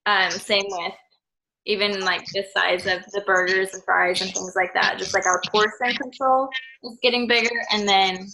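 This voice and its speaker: A woman's voice: mid-range voice, fairly even intonation, moderate pace, bright, forceful tone, poor audio. A young American woman speaking casually as a participant in a student discussion. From Idaho, United States. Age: young adult.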